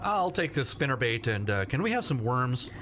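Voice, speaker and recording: A man's voice: mid-range voice, very animated, normal speed, close to an everyday voice. A man playing an awkward, well-meaning family fisherman in a radio play, hesitant and plainspoken, over noisy ambience. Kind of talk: naturalistic radio play acting. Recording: noisy ambience with vehicle sounds.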